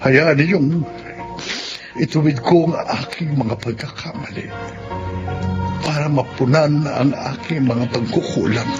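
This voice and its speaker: A man's voice: fairly high pitch, a little slow, pauses often, lightly acted. An actor playing a proud, self-centred middle-aged father in a Tagalog radio drama. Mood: remorseful. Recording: muffled lo-fi audio under loud music.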